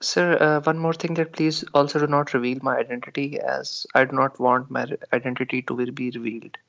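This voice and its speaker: A man's voice: medium pitch, fairly even intonation, fairly quick, creaky, fairly bright tone. A man calling in, polite and hesitant, asking questions with many fillers. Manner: polite, hesitant, says sir often. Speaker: caller. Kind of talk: call-in question. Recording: dull lo-fi call line.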